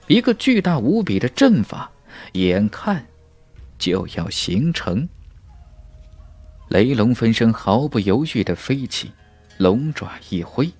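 A male audiobook narrator tells a fantasy tale in a lowish voice with sweeping, animated intonation. His delivery is emphatic and dramatic, recorded dry and close, with music playing behind him. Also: no echo. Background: background music.

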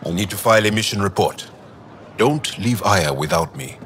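Firm, devoted alien soldier, deep and animated, sci-fi game drama. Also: male voice, normal speed, slight background noise. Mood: earnest. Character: loyal, earnest male alien warrior.

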